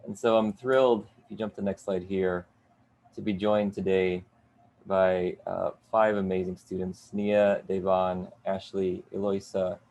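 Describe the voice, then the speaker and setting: A man's voice: low-pitched, flat delivery, a little slow. A middle-aged man moderating an online panel from a home basement over a video call, upbeat with many "uh" fillers. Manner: upbeat, warm in addressing guests, frequent "uh" fillers.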